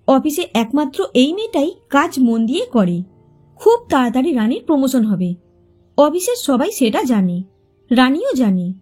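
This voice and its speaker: A woman's voice: high voice, darkish tone, slightly rough. A woman narrating a scripted, dramatic short story as a storyteller, with music behind her. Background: background music.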